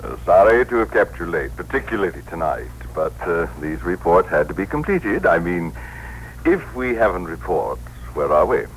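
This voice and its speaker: Pompous male boss with animated intonation. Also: deep voice, moderate pace. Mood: brisk, self-satisfied. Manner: precise, pompous, lecturing. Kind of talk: 1960s radio acting. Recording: faintly noisy old radio broadcast.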